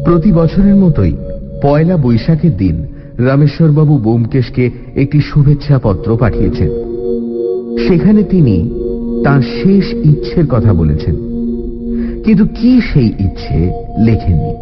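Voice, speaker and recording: An actor playing a detective's companion who recounts the case as a radio drama's storyteller. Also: male voice, medium pitch, big pitch swings, measured pace, long pauses. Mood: storytelling. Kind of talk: scripted dramatic narration. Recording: muffled radio audio with music bed.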